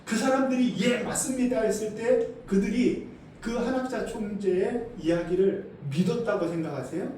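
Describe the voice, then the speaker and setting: A middle-aged Korean man, a senior pastor, preaching a sermon, asking the congregation questions and swinging between loud emphasis and quiet asides. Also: high-pitched, moderate pace. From South Korea. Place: church sanctuary. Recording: echoey hall from far off, faint noise.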